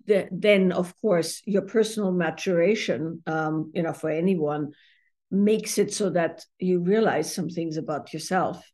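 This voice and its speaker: A middle-aged woman from Austria, a therapist and author, giving thoughtful interview answers with many pauses and fillers. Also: lowish voice, dark tone.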